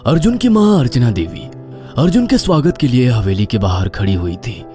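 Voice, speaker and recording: A man's voice: mid-range voice, very animated, moderate pace, over music. A man narrating a scripted horror story in a dramatic style.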